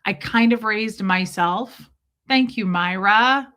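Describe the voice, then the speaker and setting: A woman livestreamer with a Midwestern American accent and a bright, forward voice at a medium pitch. She talks casually and candidly to her viewers, in a chatty, personal way. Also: takes it slowly. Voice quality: voice deeper than usual from lack of sleep. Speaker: livestream host.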